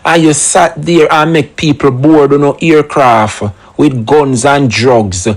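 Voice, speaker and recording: Slow, emphatic man. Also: on the high side, fairly even intonation. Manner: rhetorical questions, emphatic patois asides. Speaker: commentary channel host. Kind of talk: opinionated solo commentary.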